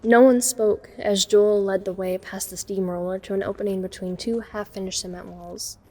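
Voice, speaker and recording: A woman playing a watchful young woman telling her own story in an audio drama. Her voice is mellow and mid-pitched, with measured scripted narration. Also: fairly even intonation, close to an everyday voice. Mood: measured, suspenseful. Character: watchful young woman recounting a night break-in. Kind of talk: scripted first-person narration.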